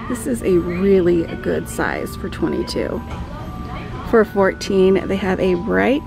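A woman vlogger walking a store aisle with her phone, calmly listing decor items and their prices. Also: medium pitch, gravelly. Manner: calm, listing prices item by item. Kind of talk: shop-with-me narration. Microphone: handheld phone camera.

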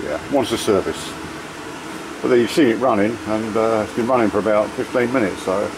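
A man's voice: lowish voice, steady tone, fairly quick. A man giving a casual, plain-spoken hands-on demonstration in short clipped phrases, picked up by a handheld camera's microphone. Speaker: presenter demonstrating vintage audio gear. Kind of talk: casual hands-on demo commentary. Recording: loud background hum, handheld camera.

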